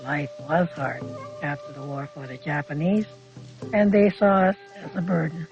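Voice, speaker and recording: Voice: mid-range voice, moderate pace. An older speaker recalling their life in plainspoken, short, reflective phrases. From Canada. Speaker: interviewee recalling childhood. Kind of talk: personal oral-history storytelling. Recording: dull lo-fi audio under music. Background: music.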